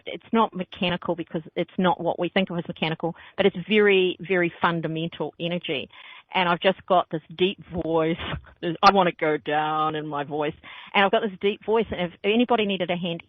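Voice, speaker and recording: A woman's voice: on the low side, dry recording. A woman hosting a call-in radio show, giving psychic readings in a playful, jokey way and laughing often. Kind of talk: casual call-in radio chat. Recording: phone-like lo-fi radio sound.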